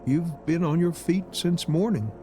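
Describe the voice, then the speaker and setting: A man voicing a protective, soothing mother with a calm, caring manner in a visual novel reading. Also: on the high side, measured pace, faint music behind, natural voice.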